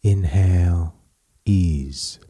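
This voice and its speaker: Male meditation guide: dark, soft-edged husky tone, soothing and measured delivery in short, spaced phrases. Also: low voice, dry recording, studio quality.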